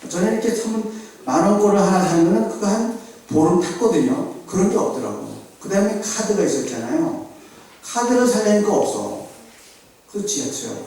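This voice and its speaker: A man's voice: high-pitched, dark tone. A middle-aged Korean man from Seoul preaching a sermon in a church, chatty and anecdotal, asking the congregation questions. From South Korea. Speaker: pastor. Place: church sanctuary. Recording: very echoey hall, voice from a distance.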